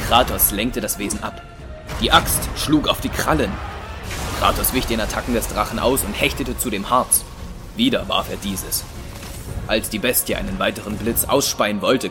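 Male narrator for audio drama, lowish voice, scripted storytelling over prominent music.

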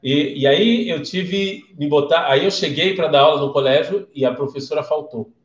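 A man's voice: fairly high pitch, normal speed, muffled recording. Chatty Brazilian man teaching in an echoey room. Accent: Brazilian Portuguese. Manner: chatty storyteller, questions the listeners. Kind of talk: casual live-streamed class.

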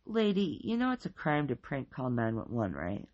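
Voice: mid-range voice, wildly swooping pitch, moderate pace, a little mellow, lo-fi sound. A storyteller voicing a plain-spoken, no-nonsense male police officer with an awkwardly kind streak. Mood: firm, impatient.

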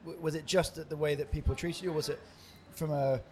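A man's voice: a little high, fairly even intonation, fast talker, darkish tone, slight background noise. A man co-hosting a casual podcast, chiming in with jokes and questions. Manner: chatty, chiming in, jokey. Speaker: podcast co-host.

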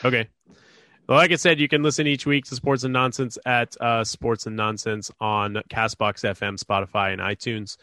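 A man's voice: medium pitch, steady tone, normal speed, bright, forceful tone, no room sound. A stuffed-up man hosting a casual sports podcast, rambling with lots of fillers. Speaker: podcast host.

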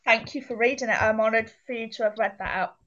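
A woman's voice: a little high, quick pace. Hesitant, subdued woman on a dull-sounding call. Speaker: caller and writer of the tribute. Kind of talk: casual call chat. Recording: dull, quiet call audio.

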